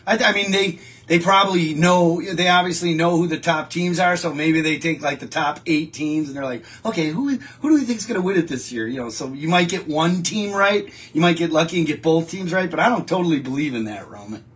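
A man's voice: a little high, fairly quick, few pauses, a bit bright. A middle-aged man, hosting a casual live stream and answering viewer comments in a rambling, chatty way. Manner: chatty, rambling, frequent restarts. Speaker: show host reading viewer comments. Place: home studio with a wallpaper backdrop.

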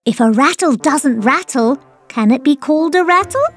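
High, lilting cartoon mama parrot. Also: female voice, expressive, moderate pace, over loud music. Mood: cheerful, gentle. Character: cheerful, gentle, encouraging mother parrot. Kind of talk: children's cartoon acting.

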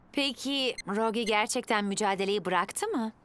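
A woman's voice: on the high side, animated, slow pace, fairly bright tone, a little hiss or hum. A woman voicing a caring, upbeat young female mechanic in a children's cartoon dub. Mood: surprised, curious. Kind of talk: animated children's cartoon dubbing.

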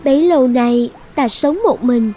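Woman doing a bossy lovesick cartoon sorceress, highish voice, sweet talk with menace, bit of music behind. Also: unhurried, dark tone, close to an everyday voice. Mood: coaxing, lovestruck. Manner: commanding, coaxing, menacing. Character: possessive, lovesick, threatening sorceress dragon princess. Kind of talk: dubbed cartoon fairy-tale acting.

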